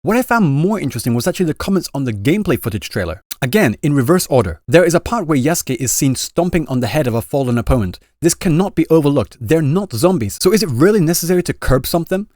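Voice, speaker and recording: A man talking quickly and chattily in a commentary vlog, reading out translated comments and restarting phrases. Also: on the high side, very animated, darkish tone, no echo, studio quality. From Britain. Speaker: YouTuber explaining Japanese reactions.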